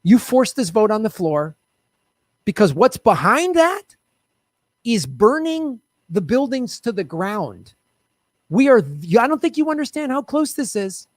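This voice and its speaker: A man hosting a political show, with a high voice that swings widely in pitch. He rants loudly and swears freely, calling viewers to action. The sound is dry and close. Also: big pitch swings, unhurried, no echo. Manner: loud, profane ranting; talks straight to viewers, urging them to act. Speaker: host of a political commentary show. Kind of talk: fiery political commentary.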